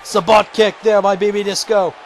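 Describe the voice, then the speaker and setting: A man calling a video game wrestling match play-by-play, shouting excitedly and going hoarse. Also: moderate pace, some chatter behind, slight background noise. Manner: shouting, high-pitched and strained, losing his voice. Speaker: commentator.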